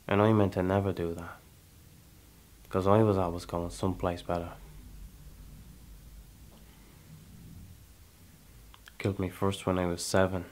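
A fairly low-pitched Irish man, hardened and restless as a male actor plays him in a theatrical monologue. He is a proud, brooding settler with blood on his hands. He speaks deliberately, leaving pauses and putting questions to his listener. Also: little pitch movement, darkish tone, natural voice. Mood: brooding, menacing.

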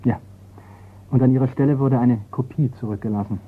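An actor playing a courteous, persistent insurance investigator in a radio crime play. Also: male voice, medium pitch, fairly even intonation, fairly quick, slightly rough, natural voice. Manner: courteous, probing. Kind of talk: German radio drama acting. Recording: tinny, noisy radio recording.

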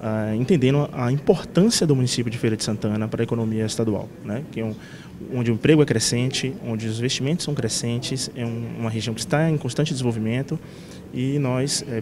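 Fast-talking man. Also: medium pitch, noisy background. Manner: fluent, official tone, frequent "né". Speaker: research director. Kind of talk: interview answer. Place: meeting room indoors. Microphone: handheld reporter mic.

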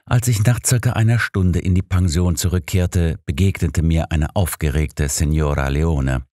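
A man's voice: deep voice, normal speed, warm tone, dry recording, studio quality. A man reading a scripted first-person story as an audiobook narrator.

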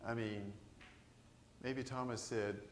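An older man, a priest, preaching a conversational sermon to his congregation, animated and asking them questions. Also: medium pitch, slow pace, slight background noise. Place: large church sanctuary. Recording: echoey church sanctuary.

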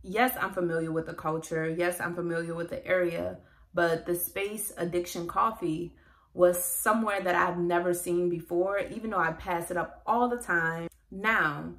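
A woman's voice: on the low side, fairly even intonation, normal speed, fairly bright tone. A woman from New Orleans, a student speaking candidly about making a documentary. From New Orleans, Louisiana. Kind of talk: reflective testimonial.

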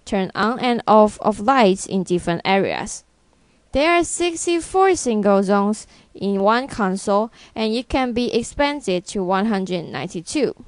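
A woman presents a product from a script in a fairly high voice. She reads in a measured, item-by-item manner. The sound is dry and close, with little room in it. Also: no room sound.

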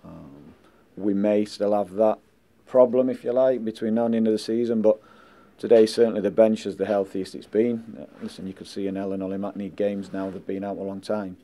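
A middle-aged man and football manager answering post-match questions indoors, measured and blunt, often saying "listen" and "um" into handheld mics. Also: on the low side, steady tone, warm tone. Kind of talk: post-match press interview. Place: indoors in front of a sponsor board. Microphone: handheld mics held close.

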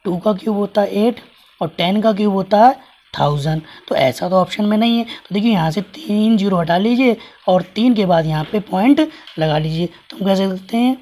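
A man teaching a maths lesson with a hoarse voice that is giving out. He works through each step patiently and keeps asking the class what comes next. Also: high-pitched, moderate pace, a little mellow. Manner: patient, explains with repeated rhetorical questions. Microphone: hands writing on paper, camera over the page.

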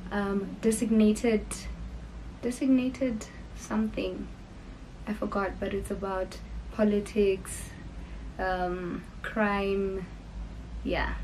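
Slow-talking young South African woman. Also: medium pitch, a little mellow, a little hiss or hum, low-quality recording. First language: Sepedi. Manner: chatty, gushing, with frequent ums and laughs. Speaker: YouTuber. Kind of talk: casual get-to-know-me Q&A. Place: bedroom, indoors. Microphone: camera in front, seated facing it.

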